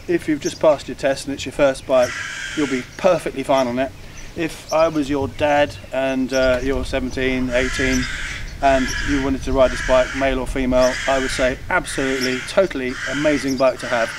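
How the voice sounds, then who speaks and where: A man's voice: medium pitch, fairly even intonation, a little fast. An older man co-hosting a motorcycle review, talking casually outdoors in a churchyard, explaining with frequent ums. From England. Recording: distant outdoor mic with clear background noise.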